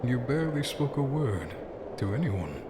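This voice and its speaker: A man playing a courteous, probing Victorian gentleman in an audio drama. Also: mid-range voice, sing-song, normal speed, lightly acted. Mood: gently probing. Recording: echoey, with heavy ambient noise.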